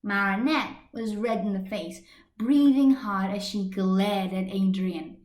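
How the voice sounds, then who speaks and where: A woman's voice: medium pitch, fairly even intonation, slow, darkish tone. A woman reading a scripted story as an amateur narrator, recorded on a built-in computer microphone. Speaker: amateur fan fiction reader. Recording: echoey room on computer microphone.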